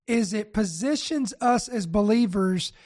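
A male pastor hosting a teaching broadcast, raspy and nasal with a head cold, talking casually and straight to his viewers. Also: high voice, measured pace. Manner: relaxed, speaks straight to viewers. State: congested from a virus.

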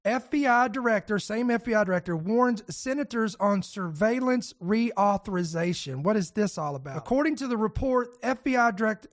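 A podcast host with a naturally raspy voice, getting over a head cold, talking in loose, rambling commentary with fillers and restarts. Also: male voice, high voice. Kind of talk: casual commentary.

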